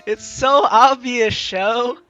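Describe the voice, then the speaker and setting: A woman's voice: fairly high pitch, slow pace, a bit bright, highs cut off. A woman hosting a let's play, hammily reading game narration and lines aloud with playful asides. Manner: playful, hammy read-aloud with ad-libbed asides. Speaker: gaming host. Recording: background game music behind voice.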